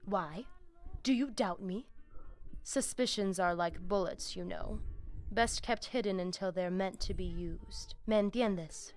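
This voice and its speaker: A woman plays a female vampire crime boss from a video game, with music behind her. She is coldly imperious and zealous, wording things formally and ominously, in a mid-pitched voice that always carries a threat. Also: unhurried, close to an everyday voice. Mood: menacing.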